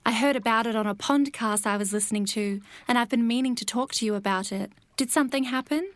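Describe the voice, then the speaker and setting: A woman playing a gentle, fussing, concerned motherly female swan in a comedic audio drama. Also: a little high, fast, no echo, lightly acted.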